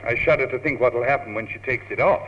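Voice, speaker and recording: An actor with a British accent playing a dry, worldly, teasing chap in an old radio comedy. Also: male voice, medium pitch, quick pace, lightly acted. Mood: dry sardonic. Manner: wry, sardonic, urbane. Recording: dull, noisy old radio tape, clicks.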